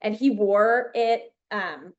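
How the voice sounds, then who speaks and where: A woman's voice: medium pitch, takes it slowly. Chicago lady founder, chatty, lots of um and you know, kinda dull lo-fi audio. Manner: chatty, enthusiastic, many fillers and restarts. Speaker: guest, company founder. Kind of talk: casual interview chat.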